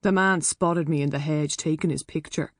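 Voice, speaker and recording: A woman's voice: low voice, steady tone, normal speed, dry recording, clean studio sound. A woman voicing a blunt, scornful, dramatic Irish woman in her late twenties in an audiobook novel. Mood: blunt, offhand. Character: scornful, world-weary woman of twenty-nine, private investigator.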